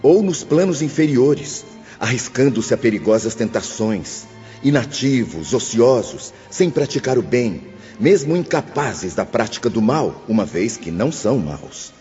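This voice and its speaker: Casting: male actor as spirit instructor, dark mellow mid voice, earnest and formal, in a reverberant hall. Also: medium pitch, big pitch swings, unhurried. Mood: admonishing. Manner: formal, admonishing. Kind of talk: dramatised audiobook. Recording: muffled hall reverb with faint music.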